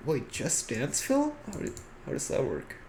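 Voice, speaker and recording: A man's voice: a little high, wildly swooping pitch, unhurried, a crowd in the background. A man in casual, informal podcast conversation, freely giving his opinions as a co-host. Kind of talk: casual chat about films and games.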